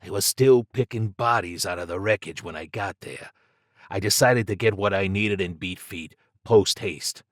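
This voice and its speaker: A shifty male thief from an audiobook tale, acted out by a male narrator, low in pitch and recorded dry and close. He is evasive and flippant, covering his nerves with slangy bravado. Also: moderate pace, dry recording. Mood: uneasy, confiding.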